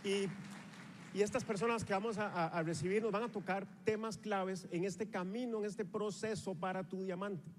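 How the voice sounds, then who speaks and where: A Costa Rican man in his forties with a Costa Rican accent, giving an energetic motivational speech on stage with a handheld microphone. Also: high-pitched, moderate pace, slight background noise. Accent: Costa Rican Spanish. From Costa Rica. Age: 40s. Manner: energetic, rallying the audience, walks the stage while gesturing. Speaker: motivational speaker at a sales rally. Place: large convention hall on a stage with a live audience. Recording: reverberant hall sound.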